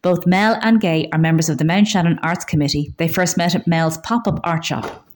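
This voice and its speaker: Lower-pitched Irish woman reading news. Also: steady tone, moderate pace, a little hiss or hum. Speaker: community radio newsreader. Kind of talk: scripted local news reading.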